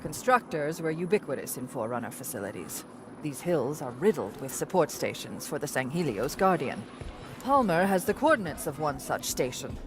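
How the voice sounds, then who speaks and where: Precise, commanding older scientist. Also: female voice, deep voice, big pitch swings, moderate pace, noisy background. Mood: businesslike. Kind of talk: dramatic video game acting. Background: loud dramatic music.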